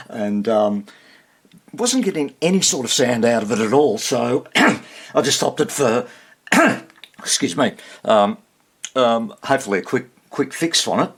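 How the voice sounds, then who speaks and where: A man giving casual, unscripted hands-on repair commentary, thinking aloud in short fragments with a husky, gravelly voice. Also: medium pitch, a bit bright. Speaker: hobbyist radio repairer demonstrating on video.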